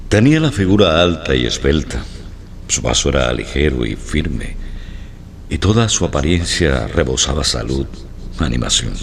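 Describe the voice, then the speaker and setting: A man reading scripted descriptive narration for a Spanish-language radio program in a formal, measured way. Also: extremely low pitch, very animated, creaky, slight background noise.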